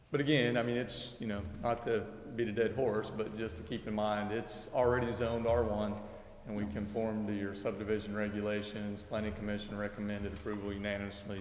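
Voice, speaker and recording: Echoey, low-voiced man at a public meeting. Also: little pitch movement, a little fast. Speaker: developer's engineer presenting a subdivision plat. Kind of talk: unscripted public presentation at a city council meeting. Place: indoor council chamber. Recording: echoey reverberant hall with background noise.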